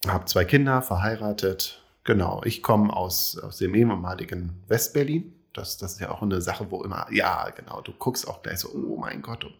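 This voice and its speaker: A man's voice: sits low, moderate pace, creaky, hardly any pauses. A man in his late thirties from Berlin, a politician chatting casually in an interview, self-deprecating and full of fillers. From Berlin, Germany. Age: 30s. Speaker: politician guest.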